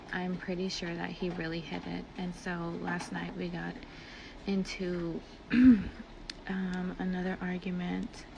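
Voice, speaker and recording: Woman, lowish creaky voice, casual rambling storyteller quoting conversations, noisy amateur clip. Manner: filler-heavy, conversational, quotes dialogue as she retells. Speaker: speaker recounting personal events. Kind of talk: casual personal storytelling, like a voice message.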